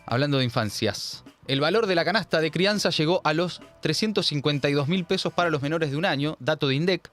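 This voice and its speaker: A man's voice: on the high side, a bit bright. A man with a Rioplatense Argentine accent hosting a political commentary show, talking in an emphatic, sardonic, slangy way. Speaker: host.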